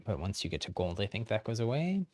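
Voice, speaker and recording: A man's voice: lowish voice, big pitch swings, quick pace, a little mellow, dry recording. A man streaming a game, giving casual, easygoing explanatory commentary to viewers. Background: game music.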